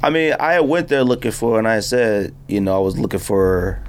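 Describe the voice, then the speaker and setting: Male American podcast host, very lively pitch swings, slangy and conversational storyteller. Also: moderate pace, clean tone. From United States. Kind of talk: casual chat about sneakers and hats. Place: podcast studio.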